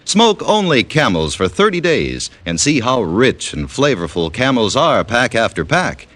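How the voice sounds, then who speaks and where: Animated male vintage radio pitchman. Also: measured pace, no room sound. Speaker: radio commercial announcer. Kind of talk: 1950s scripted radio ad read.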